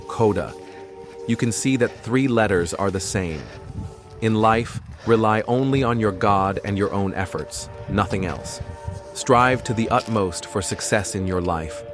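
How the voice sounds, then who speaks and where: A man with a fairly low voice narrates a travel vlog in earnest, unhurried voice-over, his first language being Persian. He sounds prayerful and reflective, and clear music plays under his words. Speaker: travel vlogger.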